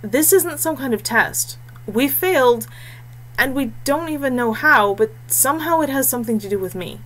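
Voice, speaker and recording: A woman's voice: high-pitched, moderate pace, pitched above the performer's own voice, poor audio. A woman voicing a blunt, grim and wary young male warrior in a fantasy audiobook. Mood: troubled. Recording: clear steady background noise and hum.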